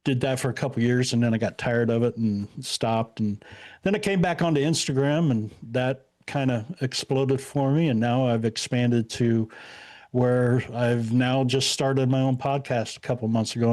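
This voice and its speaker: A man's voice: medium pitch, moderate pace, darkish tone. A man in his sixties, a retired guest, talking about himself in an easygoing, rambling way with lots of fillers. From Indiana. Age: 60s. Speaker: podcast guest. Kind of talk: casual interview chat.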